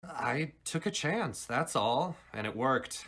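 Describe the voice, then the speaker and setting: Man acting a cocky, wisecracking radio sleuth, lively delivery. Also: medium pitch, takes it slowly. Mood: wry, relaxed. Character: cocky, wisecracking young male amateur sleuth. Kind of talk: vintage radio theatre acting.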